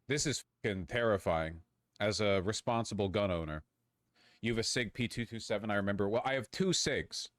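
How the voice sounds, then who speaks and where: A man's voice: lowish voice, brisk, a bit bright, dry recording, studio quality. A man streaming casually, thinking aloud as he chats. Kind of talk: casual livestream chat.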